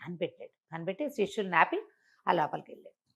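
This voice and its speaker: A woman's voice: fairly low pitch, big pitch swings, fast talker, gravelly, a bit bright. A woman tells a moral folk tale conversationally, explaining as she goes and repeating phrases for emphasis. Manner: explanatory, uses repetition and acts out dialogue in passing. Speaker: storyteller.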